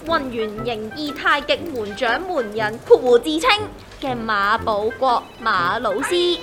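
A woman's voice: on the high side, animated, measured pace, over music. A woman with a Hong Kong Cantonese accent playing a gloomy, aggrieved young worker who whines and complains in a comedic audio drama. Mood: indignant complaining. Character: gloomy, aggrieved young woman worker griping about her strict grandpa.

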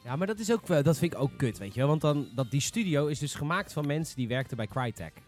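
Blunt male host, higher voice. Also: lively intonation, fast, faint music behind. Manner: informal, blunt, sweary. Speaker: podcast host. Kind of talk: casual chat, steering the discussion.

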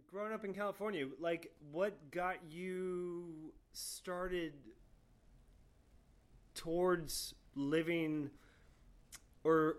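A man who grew up in Florida, hosting a casual conversation, loose and chatty, full of "like" and the odd swear word. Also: fairly high pitch. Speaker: podcast host.

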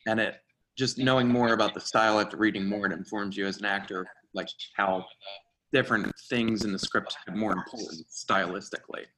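A man's voice: on the low side, fairly even intonation, brisk, sharp tone, poor audio. A man who acts in script readings, talking casually in a group discussion. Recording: faint noise with clicks.

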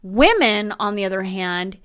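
A woman's voice: medium pitch, animated, unhurried, fairly bright tone, clean tone, no echo. Middle-aged woman from colorado, a bit buzzed, chatty and blunt, dry close sound. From Colorado, USA. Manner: loose, chatty and candid, a little tipsy. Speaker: podcast guest. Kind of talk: casual chat.

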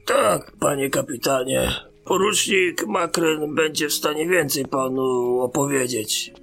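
A man's voice: a little high, unhurried, vocal fry, a bit bright, soft background music, full character voice. A man acting a dutiful garrison officer in a fantasy audio drama, speaking formally and respectfully. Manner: formal, deferential.

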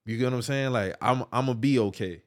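A man's voice: medium pitch, a little fast. A man, co-hosting a podcast in casual, emphatic chat and often checking in with "you get what I'm saying?". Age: 30s. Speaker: podcast co-host.

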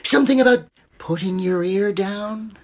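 A woman's voice: medium pitch, moderate pace, lightly acted. A woman playing a charismatic, coaxing poetry teacher in a radio drama. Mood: encouraging. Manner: animated, coaxing. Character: charismatic, unconventional, encouraging female poetry teacher. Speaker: poetry workshop leader.

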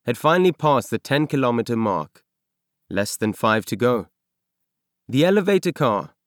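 A man reading a science fiction novel aloud as scripted narration, in measured, expressive storytelling. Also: mid-range voice, dry recording, studio quality. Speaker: audiobook narrator.

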